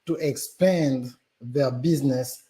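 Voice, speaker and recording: A man's voice: mid-range voice, takes it slowly, warm tone. A man with a French accent, a business guest on a live stream interview, talking animatedly with many fillers and restarts. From Gabon.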